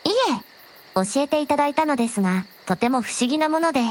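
A woman playing a gentle, very polite dragon princess with a softly teasing manner in a scripted audio drama. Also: high-pitched, expressive, fast talker, a little hiss or hum. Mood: polite, serene. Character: gentle polite dragon princess, a shrine maiden's alternate self.

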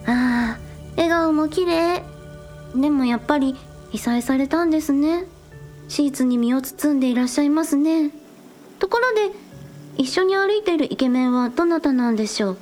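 Teen village idol, played by a woman: very high voice, cute, earnest and excitable, audio drama with music behind. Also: normal speed, close to an everyday voice. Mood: excited. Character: bubbly teenage girl village idol, streaming live. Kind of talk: Japanese audio drama acting.

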